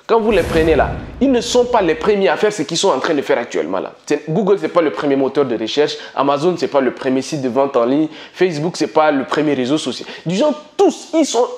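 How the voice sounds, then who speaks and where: A male entrepreneur giving an emphatic motivational business talk to camera, full of repetition and rhetorical questions to viewers. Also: a little mellow. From Africa. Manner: swings between high emphatic bursts and low asides. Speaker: entrepreneur and channel host.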